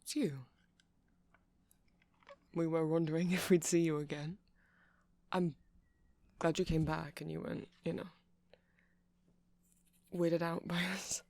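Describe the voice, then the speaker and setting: A man playing a shy, nerdy vampire shop clerk in an ASMR roleplay, rambling gently and hesitantly to the listener. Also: on the high side, little pitch movement, slow pace, gravelly, pauses often, dry recording. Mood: shy, welcoming. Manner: hesitant, awkward, apologetic, stammering, gentle. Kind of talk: ASMR roleplay, soft casual monologue to listener.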